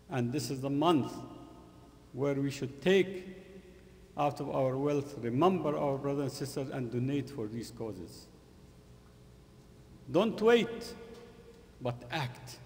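A middle-aged man giving an earnest Friday sermon in a mosque, speaking in short, emphatic, halting phrases with repetition. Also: a little high, darkish tone. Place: mosque prayer hall, indoors. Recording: long hall reverb from room speakers.

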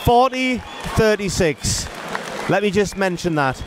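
A man with a fairly high, lively speaking voice calls a boxing bout from ringside. He is chatty and enthusiastic, and a handheld mic picks him up over the steady murmur of a packed sports hall and its background noise. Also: measured pace, crowd noise. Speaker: boxing commentator and ringside interviewer. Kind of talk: fight commentary and post-fight interview.

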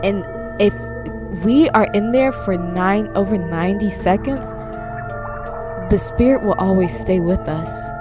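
A woman's voice: mid-range voice, unhurried, music in the background, close to an everyday voice. A young woman playing an exasperated, bossy hostess in a slangy improvised game roleplay. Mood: organizing. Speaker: channel host and roleplayer.

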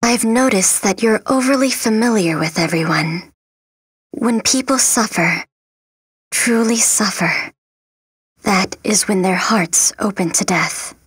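A woman voicing a gentle, melancholy young princess of death in a video game, soft, breathy, solemn and wistful. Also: mid-range voice, unhurried, no room sound. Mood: solemn, serene. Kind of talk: video game character acting.

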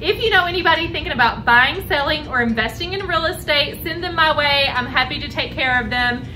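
A very high-voiced American woman hosts an upbeat promotional interview. She is enthusiastic and gushing. She sounds a bit away from the mic, with clear music behind her. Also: fairly quick, pushed and bright, recorded from a distance, rough recording. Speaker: host, realtor. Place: indoors at a restaurant bar. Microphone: camera a few feet away.

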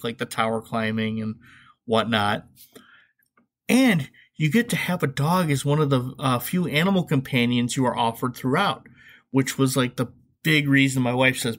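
A man's voice: medium pitch, fairly bright tone. A man hosting a podcast, giving comedic, opinionated commentary in a sarcastic way with emphatic asides. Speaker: podcast host.

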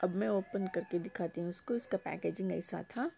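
A woman's voice: lowish voice, normal speed, vocal fry, mellow, slight background noise. A woman vlogger casually narrating an unboxing at home, explaining each step in a homely way. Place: home, table top.

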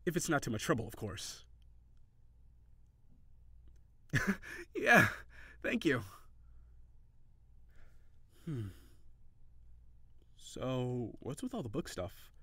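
A man's voice: on the high side, no echo. A man playing a shy, cheery kitsune barista, close-up. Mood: shy, hopeful, then curious, upbeat. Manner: hesitant, giggly, encouraging, softly flirtatious. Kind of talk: intimate audio roleplay addressed to the listener.

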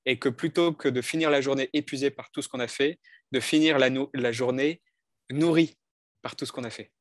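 A man's voice: mid-range voice, brisk, no echo. Thoughtful man on a headset, dry sound. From northern France. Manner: thoughtful, hesitant, many restarts. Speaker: coach and speaker. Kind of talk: telling his life story in an interview. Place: bright indoor room. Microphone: headset on video call.

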